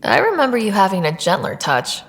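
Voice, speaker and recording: A woman's voice: medium pitch, big pitch swings, a little fast, long reverb tail. A woman playing a young explorer leader in a video game, speaking in a casual, wry way. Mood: wry, friendly. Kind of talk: video game dialogue acting.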